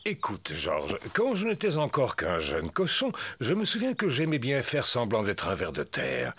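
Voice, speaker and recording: A man's voice: medium pitch, wildly swooping pitch, moderate pace, a bit bright, husky, no room sound, full character voice. A man playing a kindly, playful old grandpa pig in a French preschool cartoon. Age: older. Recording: music behind the voice.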